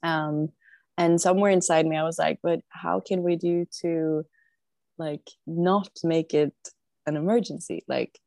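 Woman guest, low, slow and reflective, on a remote call from home. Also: fairly even intonation, gravelly, a little mellow, no echo. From southern Sweden. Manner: thoughtful, unhurried, often pausing to think. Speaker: podcast guest. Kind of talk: casual conversational interview. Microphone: remote online call.

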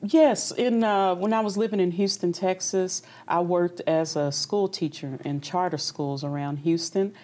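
A young woman from Kentucky, an opera singer being interviewed, talking in a relaxed, reflective way with easy laughs. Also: on the low side, unhurried, hardly any pauses. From Kentucky, United States. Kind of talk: conversational interview.

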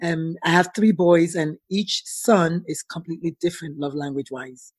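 A woman's voice: on the low side, fairly even intonation, moderate pace, slightly rough, dry recording. A woman hosting a podcast, speaking in an encouraging, affirming way. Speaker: podcast host. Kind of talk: casual interview.

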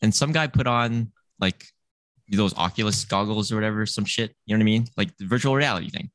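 Low-voiced man hosting a casual podcast. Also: a little fast, a bit bright, no echo, poor audio. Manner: rambling, many fillers. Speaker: podcast host. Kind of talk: casual chat among friends.

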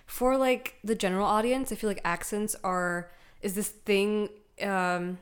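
A woman's voice: medium pitch, steady tone, a little slow, smooth. A young woman from Malaysia with a slightly American accent, chatting freely as a guest in a podcast conversation, full of "like" fillers. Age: young adult. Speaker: guest, emerging actor.

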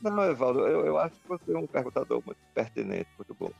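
A man's voice: mid-range voice, lively intonation, quick pace, creaky, breathy edge, soft background music. An older man from Recife, Brazil, a singer-songwriter guest speaking reservedly in an interview. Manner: reserved, warm laughter. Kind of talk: casual interview chat. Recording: dull lo-fi sound.

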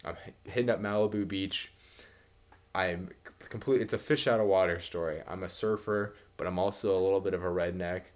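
A young American man with a deep voice talks as a podcast co-host. His delivery is casual and comedic, rambling along haltingly with the odd casual swear. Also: steady tone. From American Midwest.

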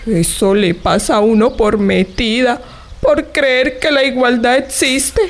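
An actress playing a blunt, indignant old maidservant in a radio drama, reproachful and emphatic. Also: female voice, fairly high pitch, fairly even intonation, unhurried, close to an everyday voice. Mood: wounded, indignant. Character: old maidservant, blunt, scolding, wounded confidante.

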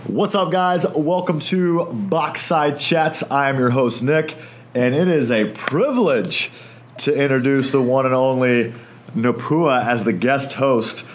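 A man's voice: mid-range voice, unhurried. Upbeat man hosting a fitness podcast. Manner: upbeat, friendly, laughs easily. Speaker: podcast host. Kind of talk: casual podcast chat.

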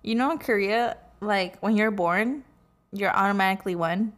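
A woman co-hosts a casual podcast, teasing her friend and handing out easygoing advice. She talks in a chatty, friendly way. Her voice sits in a middle pitch for a woman. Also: moderate pace. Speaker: podcast co-host. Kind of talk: casual chat.

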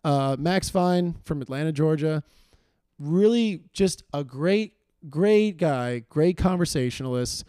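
Hoarse rambling man hosting a podcast. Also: on the high side, animated, takes it slowly, dark tone, no room sound, studio quality. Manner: rambling, self-deprecating, lots of fillers. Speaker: podcast host. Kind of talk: casual solo intro monologue.

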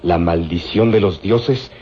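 An actor playing a wary, grave hired thug in a radio serial. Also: male voice, sits low, takes it slowly, husky, full character voice. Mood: ominous warning. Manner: grave, superstitious warnings. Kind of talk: Spanish-language radio serial acting. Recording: dull old radio recording.

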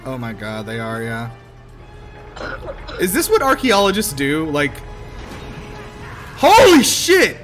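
A man with a lively, slightly high voice co-hosts a casual watch-along. He is wry, exclamatory and profane, and his pitch moves around a lot. Music plays clearly behind him. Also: slow pace, long pauses. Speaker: podcast co-host. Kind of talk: casual film-watching commentary.

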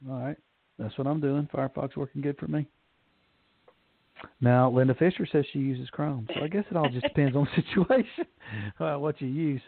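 A man's voice: fairly high pitch, normal speed, gravelly, no room sound. A man from Alabama with a Southern accent, hosting a radio show in a folksy, joking way. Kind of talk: casual radio chat. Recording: tinny phone line.

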